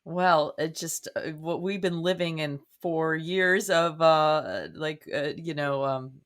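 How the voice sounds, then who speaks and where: A woman's voice: low-pitched, slow. Hesitant American woman in casual conversation. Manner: hesitant, searching for words. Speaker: podcast guest, writer. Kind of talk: casual discussion.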